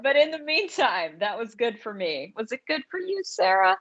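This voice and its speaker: A woman's voice: sits high, big pitch swings, moderate pace, creaky, fairly bright tone. A woman co-hosting a podcast, chatting casually about films in an emphatic way, repeating words for stress. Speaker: podcast co-host. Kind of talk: casual movie review chat. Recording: dull lo-fi audio.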